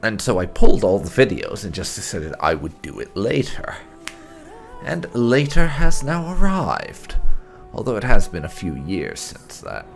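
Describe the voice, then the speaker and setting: A man hosting a gaming let's play, talking casually in deliberate, halting phrases. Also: on the low side, huge pitch swings, gravelly, faint music behind. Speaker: host of a gaming channel.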